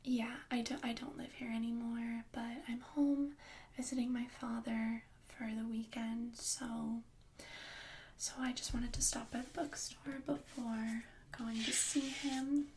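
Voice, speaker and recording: A young woman voicing a kind, bookish young princess in a soft ASMR whisper, hesitant and gently reassuring. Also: a little high, level tone, measured pace, a little hiss or hum. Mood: soft, friendly. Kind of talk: ASMR roleplay.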